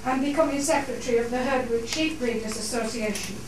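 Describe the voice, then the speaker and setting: A woman's voice: fairly high pitch, a little fast. A woman host giving a formal welcome and introduction to an audience in a theatre. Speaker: society host introducing a play. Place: theatre, on stage. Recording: echoey hall, distant mic, noisy.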